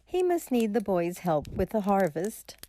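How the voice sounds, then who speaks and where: A woman playing a gentle, doting farm mother in a radio drama, with a soft, nurturing manner. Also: mid-range voice, big pitch swings, measured pace, a little hiss or hum. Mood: caring. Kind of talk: horror radio drama acting.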